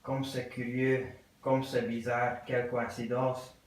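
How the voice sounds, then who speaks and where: A man playing a stiff English gentleman who speaks with ceremonious, formal courtesy and repeats himself at a slow pace. The voice fills a very reverberant room and is picked up from far away. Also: medium pitch, flat delivery, lightly acted. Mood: ceremoniously curious. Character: stiff polite English gentleman, middle-aged, curious. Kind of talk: theatre acting of an absurd play.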